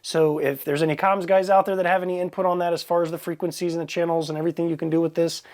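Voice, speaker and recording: A man's voice: on the high side, level tone, very fast, darkish tone. A man giving a casual, unscripted product review in a plainspoken, conversational, matter-of-fact way.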